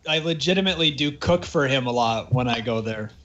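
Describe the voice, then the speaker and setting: A man's voice: medium pitch, lively intonation, fairly quick, fairly bright tone, dull audio, a little hiss or hum. A man from Canada, a voice actor co-hosting a podcast, chatting casually and explaining at length. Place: Vancouver.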